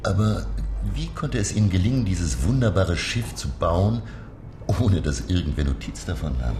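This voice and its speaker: An actor playing an inquisitive, formal professor who narrates his own sea adventure with wonder in a German radio drama, in a gravelly voice. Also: lively intonation, moderate pace, background noise. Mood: curious wonder. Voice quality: very deep, gravelly. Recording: echoey room, music behind.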